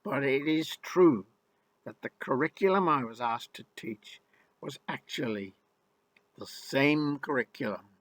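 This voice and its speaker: A man's voice: mid-range voice, unhurried, a bit bright, rough recording. An older man from Ireland telling his own life story in the first person, in a wry, rueful and emphatic way. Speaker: memoir narrator.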